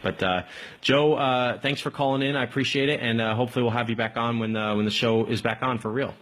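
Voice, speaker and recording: A man hosting a casual live call-in tech stream, rambling along in an informal, enthusiastic way with a slightly husky voice. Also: mid-range voice, steady tone, quick pace, a bit bright. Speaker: live tech show host.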